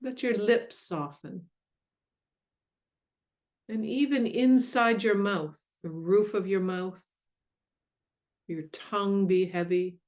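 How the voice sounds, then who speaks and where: A woman leading a guided meditation in a gentle, soothing, unhurried way with long pauses. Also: medium pitch. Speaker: meditation guide and mindfulness coach.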